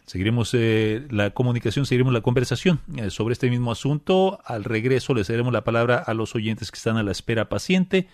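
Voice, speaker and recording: A man hosting a radio call-in show from a studio, speaking in a measured way with frequent "eh" fillers. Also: mid-range voice, darkish tone, dry recording.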